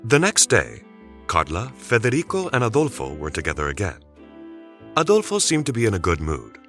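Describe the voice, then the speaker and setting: A man's voice: fairly low pitch, huge pitch swings, normal speed, fairly bright tone, faint music behind. A man reading scripted, dramatic story narration as the narrator of an audio tale.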